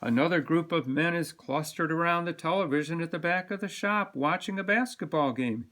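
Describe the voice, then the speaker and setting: A man reading a children's picture book aloud with expressive, dramatic emphasis. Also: a little high, brisk. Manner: animated, dramatic delivery with sound-word emphasis. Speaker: storybook reader.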